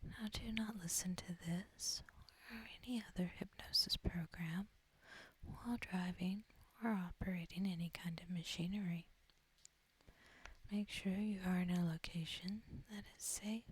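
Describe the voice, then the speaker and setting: A woman softly whispering a guided sleep hypnosis in a breathy, husky voice, gentle and soothing, with long deliberate pauses. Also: on the low side. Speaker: ASMR host guiding sleep hypnosis. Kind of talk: soft-spoken ASMR guided relaxation.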